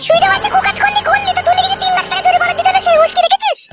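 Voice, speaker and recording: A woman's voice: very high-pitched, flat delivery, racing, loud music behind the voice. A woman voicing a furious, foul-mouthed young wife in a comedy cartoon, scolding and cursing. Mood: accusing, furious.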